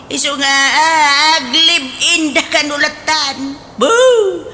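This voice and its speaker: A gossipy, pious Filipino aunt played by a woman in a radio comedy drama. Her voice is bright and forceful, swinging up and down as she scolds in drawn-out, exclamatory phrases. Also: medium pitch, a little hiss or hum. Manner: expressive, exclamatory.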